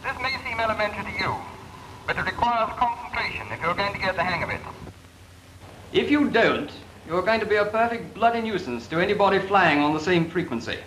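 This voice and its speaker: A man instructing trainees in radio procedure, brisk and corrective, in 1940s training film dialogue. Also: sits high, lively intonation, fairly bright tone. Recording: echoey 1940s film soundtrack with hiss.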